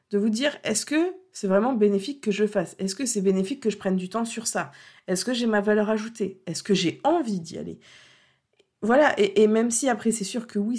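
A woman with a cold talks alone into her podcast mic, unscripted and sincere. She circles back often and pads her thoughts with fillers, with a stuffy, slightly rough edge to her voice. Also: mid-range voice, darkish tone. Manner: hesitant, many fillers and restarts, earnest. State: has a cold. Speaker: podcast host and coach. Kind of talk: unscripted solo podcast talk.

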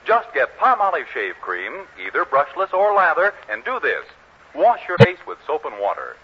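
A man's voice: a little high, wildly swooping pitch, normal speed, husky. An American man announcing and narrating from a script in 1940s radio style. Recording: muffled noisy 1940s radio recording.

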